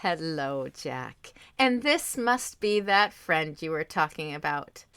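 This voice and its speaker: A woman acting as a kindly, motherly society dame in an audio drama. She drawls along slowly with big swoops of pitch, folksy, emphatic and plain-spoken. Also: expressive, pushed and bright, clear, resonant tone, full character voice. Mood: welcoming.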